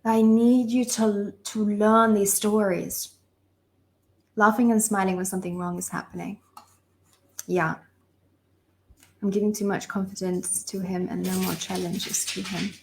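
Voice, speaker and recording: A woman's voice: mid-range voice, flat delivery, a little slow, long pauses. A young adult woman from Lithuania, a relationship coach giving a live online masterclass, talking with emphatic, impassioned repetition and calling listeners her loves. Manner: emphatic, impassioned delivery with lists of repeated phrases; addresses listeners warmly as sisters and loves.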